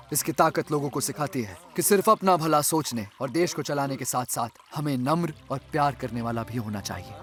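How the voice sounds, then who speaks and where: A man playing a solemn, wise figure giving measured explanations in a Hindi cartoon dub. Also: medium pitch, lightly acted.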